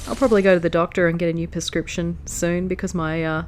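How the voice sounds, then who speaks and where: A woman's voice: lowish voice, little pitch movement, fast talker, a little mellow, a little hiss or hum. A woman with a stuffy, nasal voice from hay fever, chatting casually as she plays through a strategy game. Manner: chatty, easily distracted. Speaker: let's play host. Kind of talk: casual gameplay commentary.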